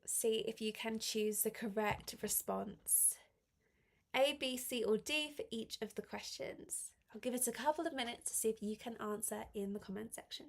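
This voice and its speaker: A woman teaching a live online English lesson with a slight head cold, reading exercises aloud and encouraging learners in a clear, step-by-step way. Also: a little high, normal speed.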